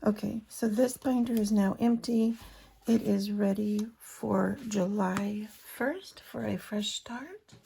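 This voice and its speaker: Slow, hoarse, gravelly-voiced woman. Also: medium pitch, a crowd in the background. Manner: chatty, talks to viewers while counting cash aloud. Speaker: budgeting vlogger. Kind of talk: casual chat while cash stuffing.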